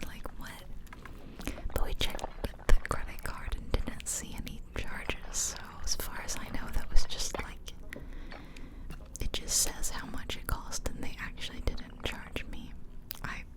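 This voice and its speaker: A woman whispering softly and breathily in a chatty, confiding ASMR style, close to the microphone. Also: measured pace, poor audio. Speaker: ASMR video creator. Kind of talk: whispered ASMR casual storytelling. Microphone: close ear-to-ear ASMR microphone.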